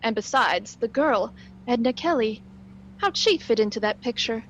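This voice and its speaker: Woman as detective's companion, old-time radio drama, inquisitive, poised, higher pitch, muffled lo-fi sound. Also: animated, moderate pace, slight background noise, lightly acted. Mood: inquisitive, earnest. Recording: dull lo-fi stream audio.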